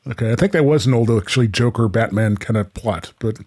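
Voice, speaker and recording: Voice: mid-range voice, brisk, a little mellow. A show host announcing scenes and chatting casually with a co-host.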